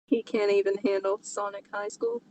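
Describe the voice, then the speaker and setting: A woman's voice: mid-range voice, little pitch movement, normal speed, a little mellow, poor audio. A woman co-hosting a casual comedic read-along, reading a story aloud and joking. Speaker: co-host reading fan fiction aloud. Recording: clear background noise.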